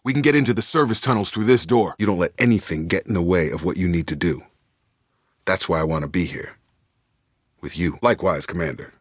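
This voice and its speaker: A man's voice: deep voice, a little fast, dry recording, studio quality. A man playing a loyal, guarded soldier in a video game, speaking in clipped, matter-of-fact military phrases. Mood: steady, serious. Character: steady, loyal male soldier, guarded and plainspoken. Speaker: video game squad member. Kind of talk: scripted video game dialogue.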